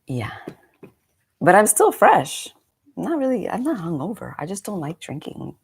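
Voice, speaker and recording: A woman's voice: on the low side, huge pitch swings, unhurried, gravelly, husky. A woman in her forties, a meditation coach, chatting casually on a live stream, slightly congested and rambling through friendly asides. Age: 40s.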